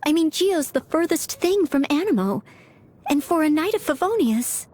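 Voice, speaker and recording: A woman's voice: sits high, animated, moderate pace, darkish tone. A woman voicing an earnest, polite teenage maid and aspiring knight in a video game, eager to please. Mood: dejected. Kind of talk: video game character voice lines. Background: background music.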